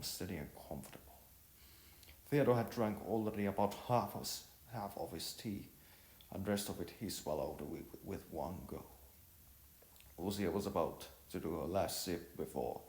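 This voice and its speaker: Low-voiced man narrating in a roomy echo. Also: a little mellow. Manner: halting, word-repeating delivery. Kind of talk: reading an audiovisual novel aloud. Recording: roomy echo with music behind. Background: music.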